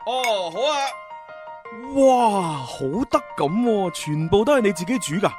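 Casting: young undercover agent in radio drama, teasing and probing, lively intonation. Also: male voice, high voice, measured pace, faint music behind. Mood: playful, admiring. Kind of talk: Cantonese radio drama acting.

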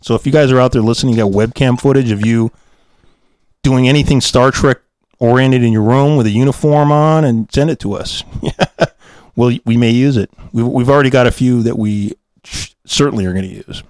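A man's voice: mid-range voice, fairly quick, a little mellow, no echo. A man co-hosting a podcast in casual after-show banter, hesitant, meandering and jokey in his delivery. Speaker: podcast co-host.

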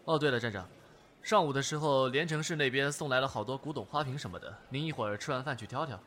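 A man playing a dutiful secretary to a spy chief, deferential and crisp, in a radio drama. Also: medium pitch, fast, fairly bright tone, lightly acted. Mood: brisk.

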